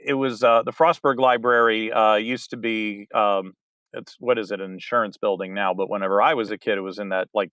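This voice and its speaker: A man's voice: medium pitch, fairly bright tone, smooth, no echo. A man with a West Virginian accent, a guest in a casual interview, rambling and chuckling as he talks. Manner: rambling, chuckles between thoughts. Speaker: guest, audiobook narrator. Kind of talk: casual video-call interview.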